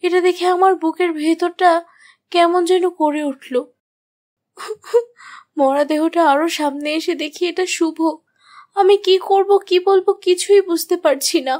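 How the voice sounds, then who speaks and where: A woman's voice: extremely high pitch, unhurried, long pauses, a little mellow. A woman playing an anxious, suspicious young wife who narrates a horror story in a dramatic, troubled manner. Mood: distressed. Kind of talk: first-person scripted horror story narration.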